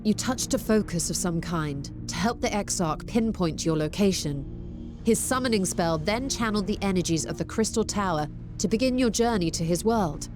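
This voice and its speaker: An actress voices a composed female scholar in a fantasy video game. She lectures patiently and precisely in formal phrasing at a medium pitch, with music clearly playing behind her. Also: animated, normal speed, natural voice. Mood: composed, explanatory. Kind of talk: scripted expository game dialogue.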